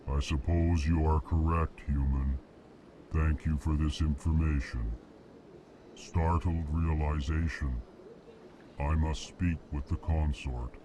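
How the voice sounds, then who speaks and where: Give me a ponderous alien diplomat in a game cutscene. The voice sits at the very bottom of the register and creeps along in an unbroken monotone. He flatly announces each emotion before he speaks. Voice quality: very deep, slow monotone drone. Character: massive slow alien male diplomat, emotionless. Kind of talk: video game cutscene dialogue.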